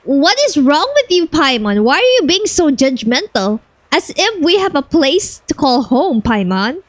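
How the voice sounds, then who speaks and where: A woman's voice: high-pitched, sing-song, unhurried, dry recording. A woman giving casual, blunt and slangy reaction commentary as she plays a video game. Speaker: streamer playing a video game.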